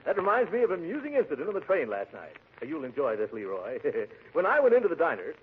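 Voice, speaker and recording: Through a thin, faintly noisy 1940s radio recording comes a pompous middle-aged uncle. He blusters and stammers in comic radio style, his pitch swinging widely. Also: huge pitch swings, fast talker, narrow, tinny audio. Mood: boastful. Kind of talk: 1940s radio comedy acting.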